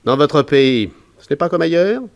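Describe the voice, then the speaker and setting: An actor playing a resigned, talkative ship's security officer, conversational and wry, in a 1960s French radio serial. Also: male voice, a little high, lively intonation, normal speed, vocal fry.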